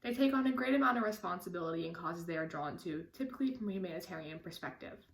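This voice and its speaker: A woman's voice: lowish voice, expressive, very fast, vocal fry, roomy sound. A teenage girl earnestly reading a prepared personal statement for a scholarship application. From United States. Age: teens.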